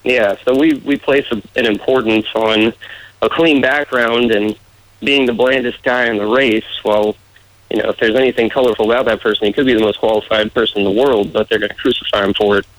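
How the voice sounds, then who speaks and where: A man's voice: fairly low pitch, steady tone, brisk, a bit bright, rough recording. A man from the United States with a muddled American accent, talking casually and earnestly as a candidate being interviewed. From United States, raised in various regions.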